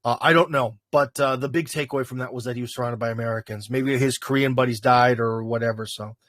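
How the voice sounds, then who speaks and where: A man's voice: medium pitch, steady tone, fast talker, fairly bright tone, dry recording, studio quality. A man hosting a casual livestream, answering viewer questions in a rambling way with lots of fillers. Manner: rambling, filler-heavy, thinking aloud.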